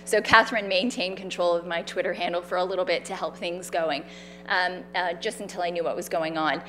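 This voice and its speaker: A woman tells her own story at an event, in an animated, conversational way. She has a loud voice and acts out the people in her story. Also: fairly low pitch, a little fast, slight background noise. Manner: animated, conversational, with fillers and quoted dialogue. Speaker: speaker sharing her story. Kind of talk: personal storytelling talk. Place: event stage.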